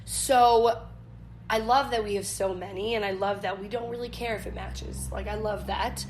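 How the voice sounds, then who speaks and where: A young woman with a mid-pitched voice chats as an interview guest. She is bubbly and casual, with lots of "like", over some faint background noise. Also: steady tone, normal speed. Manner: bubbly, says "like" often. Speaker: interview guest, activities staff member. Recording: clear background noise and room ambience.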